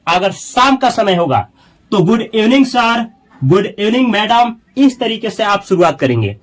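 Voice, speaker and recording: High-voiced man teaching spoken English. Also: sing-song. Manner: explains in Hindi, reads English sample sentences slowly and deliberately.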